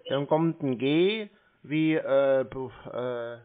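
A man's voice: medium pitch, slow pace. A man on a phone call, dawdling and acting clueless as he hesitantly spells things out. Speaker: prank caller toying with phone scammers. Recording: tinny narrowband phone line.

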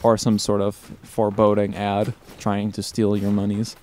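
Playful, lowish-voiced man. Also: monotone, moderate pace, a little mellow. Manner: playful, punning, giggly. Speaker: co-host. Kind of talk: casual gameplay chat. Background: game music.

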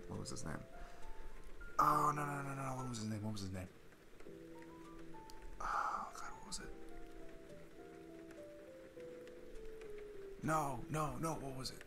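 A young man playing an awkward, chatty single dad on a date in an ASMR roleplay, rambling haltingly and self-deprecatingly. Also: sits low, creaky. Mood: flustered. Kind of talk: ASMR boyfriend-style roleplay, casual improvised-sounding chat. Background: background music.

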